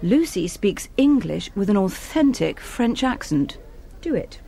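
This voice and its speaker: Prim, lively-voiced female school teacher. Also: medium pitch, unhurried, creaky. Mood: firm. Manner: prim, patronising. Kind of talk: scripted TV comedy drama.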